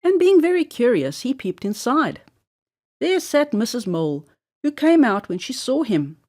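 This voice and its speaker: A woman reads a children's tale aloud. Her voice sits fairly high and moves up and down with lively intonation, and her delivery is deliberate and expressive. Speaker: storyteller. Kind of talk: children's story read-aloud narration.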